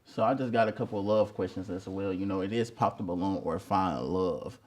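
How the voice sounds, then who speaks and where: A man's voice: low-pitched, fairly quick. A man hosting a casual dating-show follow-up interview, asking questions in a laid-back, slangy way. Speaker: host.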